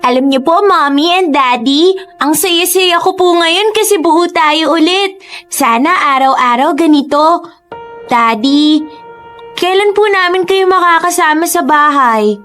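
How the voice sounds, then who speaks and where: A woman's voice: very high-pitched, measured pace, a bit bright. A woman voicing a sweet, polite little boy in a radio drama. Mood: sweet, hopeful. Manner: polite, childlike. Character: earnest, sweet little boy pleading with parents. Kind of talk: Tagalog radio drama acting. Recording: music under the voice.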